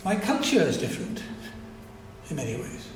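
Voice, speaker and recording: A man's voice: huge pitch swings, normal speed, a little hiss or hum. Welsh-accented older man lecturing in a hall. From Wales. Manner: reflective, with frequent restarts and trailing pitch. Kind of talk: public lecture. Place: lecture hall at a society event. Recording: echoey hall recording.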